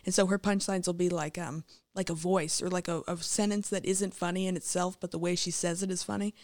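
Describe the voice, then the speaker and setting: A woman stand-up comedian from the American South chatting on a podcast, talking fast and filling her sentences with "like". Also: fairly low pitch, steady tone, a little mellow, no room sound, clean studio sound. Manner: chatty, quick, lots of "like" fillers. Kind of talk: casual podcast chat.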